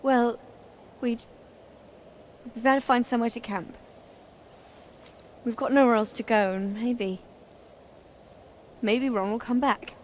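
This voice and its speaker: A woman's voice: on the high side, steady tone, takes it slowly, long pauses, a little hiss or hum. A woman voicing an anxious, clever teenage girl witch in a video game, earnest. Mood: worried. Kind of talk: dramatic video game dialogue.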